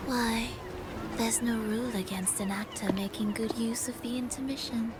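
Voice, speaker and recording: A woman playing an aloof, scheming villainess in a video game, speaking in a soft, breathy, theatrical and coolly teasing way over music. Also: fairly high pitch, steady tone, normal speed, very noisy, lightly acted. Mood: cool, ominous. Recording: loud music behind the voice. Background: loud game music.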